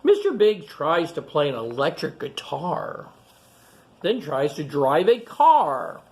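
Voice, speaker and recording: A man's voice: fairly high pitch, very animated. A man hosting a storytime, reading a picture book aloud to children and stopping to comment on the pictures in simple, repetitive phrases. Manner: pauses to point out and comment on pictures. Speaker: storytime reader and host.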